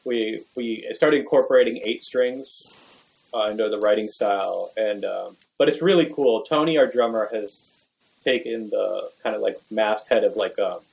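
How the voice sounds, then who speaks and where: Young male musician guest, low-pitched, easygoing, loose filler-heavy chat. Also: normal speed, gravelly, darkish tone, rough recording. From Venezuela. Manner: rambling, full of "like" and "you know". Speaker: guest bass player. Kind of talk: casual podcast interview.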